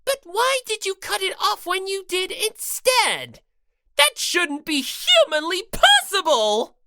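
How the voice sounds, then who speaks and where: Casting: woman as sadistic robot bear mascot for audiobook, bossy, sarcastic, bright forceful delivery with wild pitch swings. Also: sing-song, takes it slowly, heavily acted. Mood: bellowing, indignant. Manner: theatrical, taunting, mock-polite then bellowing. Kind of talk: audiobook character voice.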